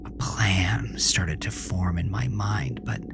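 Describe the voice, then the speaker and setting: A man playing a haunted, remorseful young man in a dramatic first-person horror monologue, halting and often sinking to a breathy whisper. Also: deep voice, over music, heavily acted. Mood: grim, hushed.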